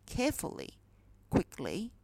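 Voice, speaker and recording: A woman reading a dictation exercise as a teacher, in a deliberate, enunciated way with pauses between phrases. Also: medium pitch, wildly swooping pitch, warm tone, dry recording. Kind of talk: slow scripted dictation read-aloud.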